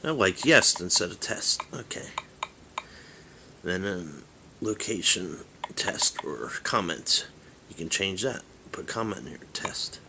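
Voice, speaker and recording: A man giving a casual hands-on demonstration as a hobbyist vlogger, halting and rambling as he thinks aloud. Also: deep voice, sing-song, noisy background.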